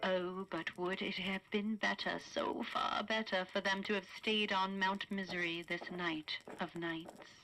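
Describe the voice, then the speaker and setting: A woman's voice: mid-range voice, fairly even intonation, measured pace, few pauses, pushed and bright, slight background noise, rough recording. A woman narrator giving theatrical, scripted storytelling. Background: music.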